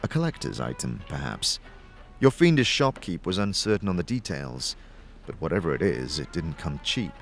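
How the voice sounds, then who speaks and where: A man's voice: low-pitched, sing-song, normal speed, gravelly. A man narrating a fantasy story to the listener as game master, in a scripted, dramatic style. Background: background music.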